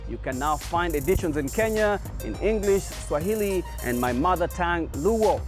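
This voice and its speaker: A man's voice: on the high side, animated, moderate pace, soft background music. A man hosting an entertainment news show, reading his script in an upbeat, sing-song way.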